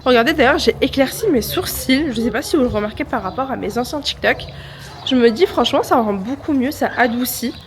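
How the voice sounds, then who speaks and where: A woman's voice: a little high, fairly quick. A young woman with a head cold chatting casually to her phone camera for a vlog, upbeat and full of slang. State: congested with a cold. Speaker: vlogger. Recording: music playing under the voice.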